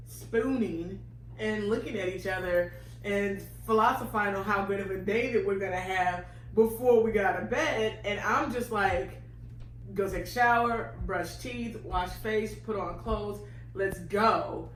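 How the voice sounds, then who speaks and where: A woman co-hosting a casual relationship chat, playful and quick to laugh and tease. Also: mid-range voice, reverberant, a little hiss or hum, low-quality recording. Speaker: co-host.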